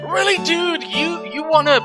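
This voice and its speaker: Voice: extremely high pitch, unhurried. A gaming video host giving casual let's play commentary, chatty and rambling while thinking aloud. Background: video game music.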